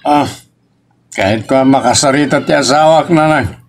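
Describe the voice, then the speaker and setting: A man's voice: medium pitch, normal speed, natural voice. A man with an Ilocos accent playing an aggrieved married son who questions his parents insistently in a drama. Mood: troubled. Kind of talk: Ilocano audio drama acting.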